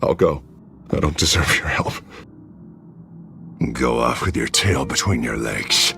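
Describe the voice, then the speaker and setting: An actor playing a distraught, unstable, guilt-ridden man, pleading haltingly in a dramatic video game. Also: rumbling low, animated, creaky, music in the background, heavily acted. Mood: bitter, resigned.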